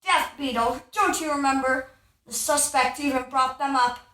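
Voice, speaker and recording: A woman's voice: high voice, steady tone, moderate pace, a bit bright. A woman playing a pedantic, haughty investigator in a stagey spooky radio play. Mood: insistent. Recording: echoey room.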